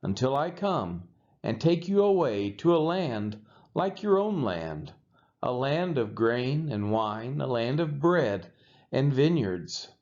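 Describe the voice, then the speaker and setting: A man's voice: mid-range voice, big pitch swings, slow, darkish tone. A man reading as a boastful Assyrian envoy. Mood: taunting. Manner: boastful, mocking questions. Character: haughty male Assyrian envoy taunting a besieged city. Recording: dull lo-fi sound.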